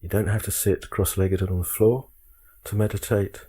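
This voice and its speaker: A man guiding a meditation, speaking calmly in measured short phrases with frequent pauses. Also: fairly low pitch, slightly rough. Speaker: meditation guide. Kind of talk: guided meditation instruction.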